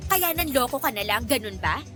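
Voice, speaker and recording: A woman with a Filipino accent playing an indignant, sharp-tongued young woman who scolds a man in a radio romance drama. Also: a little high, sing-song, a little fast, over music. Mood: hurt, furious. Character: indignant, feisty young woman confronting a male prankster.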